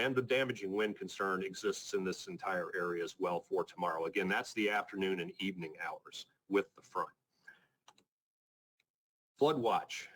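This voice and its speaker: A man with a fairly low voice leads a briefing as a weather forecaster. He talks through his slides informally but technically, staying measured and matter-of-fact. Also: a bit bright, low-quality recording. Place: conference call presentation.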